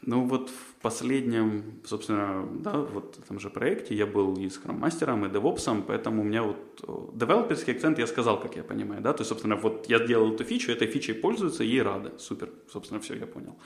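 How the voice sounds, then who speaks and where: A man with a fairly low voice talks quickly, answering interview questions as a job candidate. He rambles, piling up fillers and restarting phrases mid-word. His voice echoes a little in the room. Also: expressive, vocal fry. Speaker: job candidate, podcast co-host.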